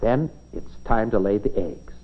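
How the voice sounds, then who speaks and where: A man's voice: on the low side, a little slow, breathy edge. An American man reads scripted 1950s educational film narration, explaining things simply like a storyteller for children. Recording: dull, band-limited 1950s film soundtrack.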